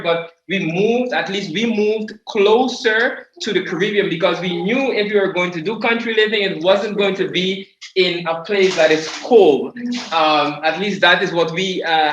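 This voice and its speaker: A man from Guyana sharing his ministry testimony at a table, halting and repeating words as he goes. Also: sits high, fairly bright tone, a little hiss or hum. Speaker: ministry speaker. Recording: echoey muffled video call.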